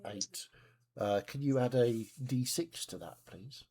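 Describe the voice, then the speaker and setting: A man's voice: mid-range voice, warm tone, husky. A man narrating as game master of a tabletop game, describing scenes and asking players what they do. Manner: descriptive, measured, with asides. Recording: voice chat, live stream.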